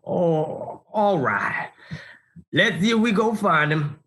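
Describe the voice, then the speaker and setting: A man's voice: high-pitched, expressive, takes it slowly, fairly bright tone, exaggerated voice. Man playing a swooping, folksy old Southern grandpa. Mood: reassuring. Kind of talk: audio drama acting.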